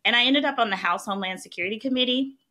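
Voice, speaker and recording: A woman's voice: fairly high pitch, very fast, bright, forceful tone. A woman from Mississippi with a Southern accent, a podcast guest telling her story in a chatty way, with frequent "um" and "right?". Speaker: podcast guest, policy expert. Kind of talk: conversational interview.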